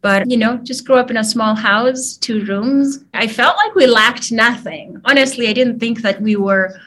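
Kenyan woman, 30s, interview guest on a call, soft reflective storyteller. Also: a little high, expressive, moderate pace. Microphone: video call.

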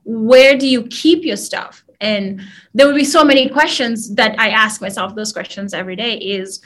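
A woman in her thirties with a Kenyan accent, speaking as a guest in a video call interview, soft and reflective. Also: fairly high pitch, moderate pace.

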